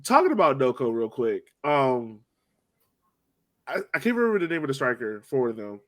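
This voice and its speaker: A man's voice: medium pitch, big pitch swings, normal speed, a bit bright. A young man in casual sports chat as a podcast host, slangy and joking in his delivery.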